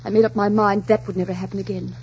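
A woman's voice: medium pitch, little pitch movement, quick pace, a little mellow, slightly rough. An actress playing a haughty, wounded noblewoman in a 1940s radio drama, theatrical, scornful and impassioned. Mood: bitter. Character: haughty, defiant widowed English noblewoman, sharp-tongued and wounded. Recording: muffled, noisy 1940s radio recording.

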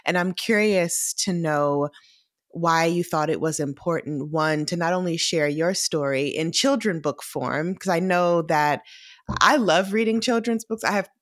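A woman's voice: on the low side, unhurried, no echo, clean studio sound. A woman co-hosting a podcast, a mother of three, asking her guest a long, earnest question. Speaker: podcast co-host. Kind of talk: interview question.

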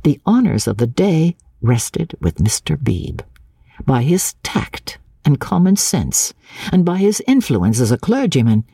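Female audiobook narrator for dramatic classic fiction: husky, unhurried, highly expressive, dry sound. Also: no echo.

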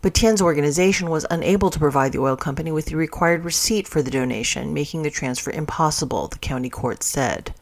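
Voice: medium pitch, fast talker, few pauses. A news reader narrating a scripted news story aloud for a podcast.

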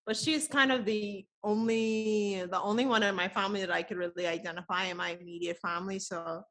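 A woman's voice: mid-range voice, fairly even intonation, fairly quick, a bit bright. A woman, chatting as a podcast guest, laughing often and filling her talk with casual fillers. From the Bahamas. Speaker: guest artist.

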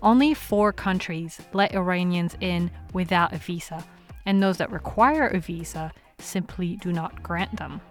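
Lively female podcast host over faint music. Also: fairly low pitch, measured pace. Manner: lively, playful, curious. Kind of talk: scripted podcast intro and interview.

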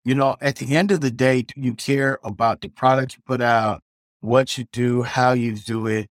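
A man's voice: mid-range voice, steady tone, moderate pace, no echo. Middle-aged man, chef, rambling storyteller, earthy talk, dry close sound. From South Side of Chicago. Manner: rambling, frequent restarts and "you know", earthy. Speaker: chef guest. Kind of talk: casual storytelling interview.

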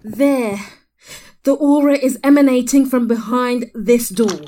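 A woman playing a prim, earnest young spellcaster with a ladylike, deliberate manner in an audio drama. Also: fairly high pitch, warm tone, slight background noise, lightly acted. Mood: intent.

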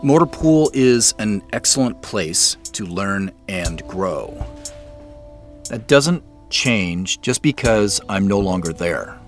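An actor as a wry, guarded man in an audio drama, speaking slowly in a lowish voice that moves around in pitch. He is dry and laid-back, as if muttering half to himself. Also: expressive, soft background music. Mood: measured, guarded. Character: wry, guarded man who suspects he is being spied on. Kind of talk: naturalistic audio drama acting.

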